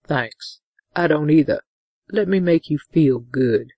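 A woman voicing a gentle, tender male lover in a romance audiobook, speaking low and breathy. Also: expressive, slow pace, no echo, full character voice. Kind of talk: audiobook character dialogue.

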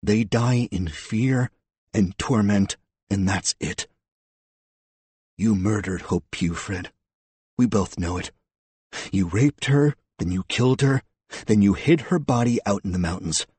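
A man's voice: fairly low pitch, expressive, unhurried, long pauses, a little mellow, husky, no echo. A man voicing a scornful, coldly relentless female police detective who is sardonic and confrontational, in an audiobook story.

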